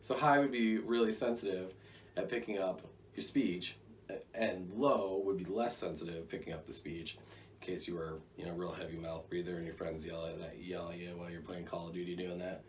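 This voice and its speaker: An American man with a low voice talks through a gear review in a casual, rambling way. He sounds conversational and drops in joking asides. The mic picks him up from a distance, with faint noise behind him. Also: fairly even intonation, normal speed. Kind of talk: casual product review to camera.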